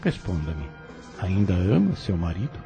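A smooth scheming landowner. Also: male voice, deep voice, very animated, unhurried, dark tone, faint music behind, exaggerated voice. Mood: persuasive. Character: scheming landowner man wooing a young heiress. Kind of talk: radio drama acting.

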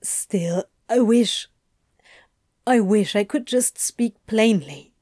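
A woman's voice: mid-range voice, lively intonation, slow, mellow, dry recording, studio quality. A woman voicing an anxious, guilt-ridden male angel who is near tears and stammers, repeating his words. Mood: tearful confession. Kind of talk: audiobook fan fiction reading.

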